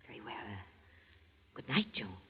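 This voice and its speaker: An actress playing a fearful, secretive woman giving hushed, ominous warnings in a 1940s radio thriller, on a tinny old recording. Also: a little slow, very noisy, lightly acted. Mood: hushed dread. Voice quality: very breathy, whispery.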